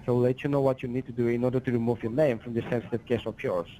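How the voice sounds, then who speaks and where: A man's voice: mid-range voice, fairly even intonation, rapid-fire, mellow, slight background noise. A man working a scam on a phone call, talking in a pushy, formal way. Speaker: phone scammer.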